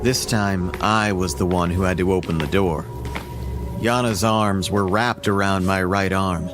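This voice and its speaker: A man's voice: deep voice, steady tone, unhurried. A man reading a scripted first-person horror story as its narrator. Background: music.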